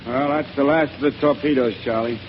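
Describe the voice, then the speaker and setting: A man's voice: mid-range voice, steady tone, a little fast, lightly acted. A man playing a jittery ex-con henchman. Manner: tough-guy slang, jittery. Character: nervous male ex-convict henchman, scared and restless. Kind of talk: 1930s radio drama acting. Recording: dull old radio broadcast with hiss.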